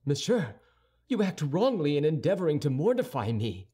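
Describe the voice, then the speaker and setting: A man's voice: high voice, very animated, moderate pace, a little mellow, breathy edge, pitched above the performer's own voice, exaggerated voice. A man voicing a proud, hot-tempered young swordsman in an audiobook, impetuous and indignant. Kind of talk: audiobook character dialogue.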